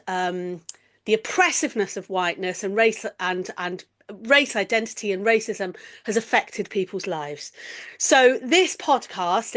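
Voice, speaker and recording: A middle-aged woman from the East Midlands with an English accent, hosting a reflective solo podcast in an earnest, deliberate way with emphatic pauses. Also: mid-range voice, fairly bright tone. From East Midlands, England. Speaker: podcast host.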